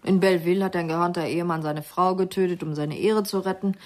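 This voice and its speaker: An actress playing a private detective's wry, brisk secretary in a radio play, dry and matter-of-fact. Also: female voice, on the low side, steady tone, natural voice.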